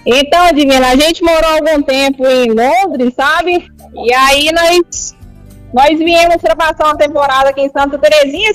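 A woman from Goiás with a Goiás accent, a store representative talking in a casual rural way as a radio guest. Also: high-pitched. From Goiás, Brazil. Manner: rural colloquial speech.

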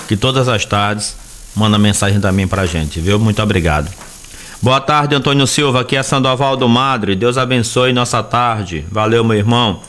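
A lowish male voice, speaking as a radio host. He talks in a calm, reassuring way and often blesses his listeners. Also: a little hiss or hum. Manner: warm, reassuring, religious blessings. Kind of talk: call-in radio show.

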